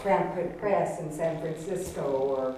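A woman with a slightly low voice, speaking slowly and giving advice on a panel. She is heard from far off in a strongly echoing room. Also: background noise. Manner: unhurried, advising. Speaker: print collector on a panel. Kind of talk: casual panel discussion. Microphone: far camera.

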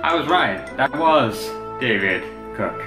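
A man in his twenties giving casual, halting reaction commentary, thinking aloud as he watches a video. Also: mid-range voice, animated. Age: 20s. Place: indoor room at home. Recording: roomy, music from video behind. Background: music from the comic dub being watched.

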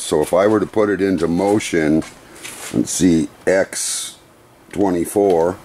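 A middle-aged man in a workshop, walking through a machine's controls in an unhurried, methodical, plain-spoken way. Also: low voice, a little mellow, a little hiss or hum. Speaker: CNC machine demonstrator. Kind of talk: hands-on equipment demonstration. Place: workshop beside a CNC router.

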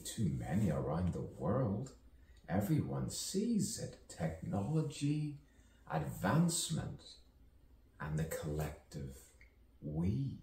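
Man, dark mellow voice, swooping intonation, theatrical spoken-word poet, musing, long pauses, roomy distant recording. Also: deep voice. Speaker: poet performing own monologue. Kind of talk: theatrical poetic soliloquy with wordplay and rhyme. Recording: roomy, distant mic, faint background noise.

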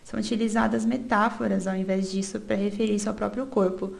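Gravelly young Brazilian woman presenting research. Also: medium pitch, little pitch movement, a little fast, darkish tone. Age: young adult. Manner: reads steadily from notes with small restarts and filler "é". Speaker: student presenter. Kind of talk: reading a research presentation over slides.

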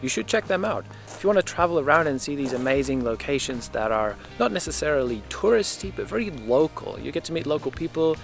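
A young man narrating a vlog in a casual, relaxed, informal way, explaining things as he goes. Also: on the high side, fast, gravelly. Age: young adult. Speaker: vlogger. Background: music.

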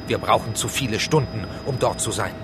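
An actor playing a composed police team chief with stiff, clipped, formal phrasing in a children's audio drama. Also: male voice, fairly low pitch, brisk, background noise, lightly acted. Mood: matter-of-fact.

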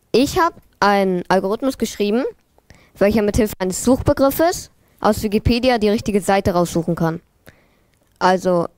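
Teen presenter, plain mid voice. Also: female voice, mid-range voice, darkish tone, no room sound. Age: teens. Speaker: student presenter.

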